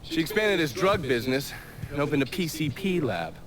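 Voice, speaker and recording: A man playing a cynical, foul-mouthed investigator in a video game cutscene, brisk and sardonic. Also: on the high side, big pitch swings, crowd noise.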